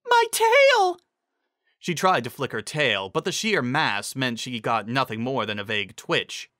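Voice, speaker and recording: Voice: mid-range voice, normal speed, sharp tone, no room sound, studio quality. A narrator giving a dramatic, scripted reading of a fantasy story.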